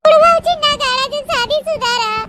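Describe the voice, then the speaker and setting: A woman's voice: very high-pitched, fairly quick, pushed and bright, faint music behind. A woman voicing a wretched, tricked young bride in a comic cartoon melodrama, lamenting tearfully with an Awadhi accent. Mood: tearful, despairing. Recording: very noisy cartoon voice track.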